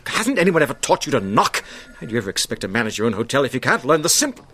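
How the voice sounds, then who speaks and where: A man's voice: mid-range voice, sing-song, rapid-fire, fairly bright tone, slight background noise. An actor playing a stern, pompous, easily flustered male hotel manager in a radio drama. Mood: irritated, pompous. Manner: pompous, officious, sputtering.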